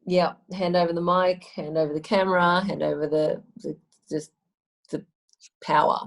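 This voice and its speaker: A woman's voice: fairly low pitch, fairly even intonation, normal speed, vocal fry, poor audio. A woman with an Australian accent, a guest speaking emphatically in a casual conversation and repeating phrases for stress.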